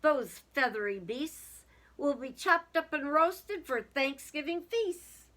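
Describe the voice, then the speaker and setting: A woman voicing a lively, rugged little old male farmer in a storybook, with dramatic, emphatic delivery. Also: high-pitched, slow pace, voice pushed up, heavily acted. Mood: ominous.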